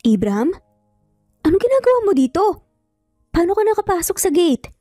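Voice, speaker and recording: A woman's voice: very high-pitched, sing-song, brisk, dark tone, dry recording, clean studio sound, pitched above the performer's own voice. A woman voicing a guarded, defiant young mother in a romance story, firm and emphatic, over music. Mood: shocked. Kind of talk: Tagalog romance drama storytelling. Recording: music bed under the voice.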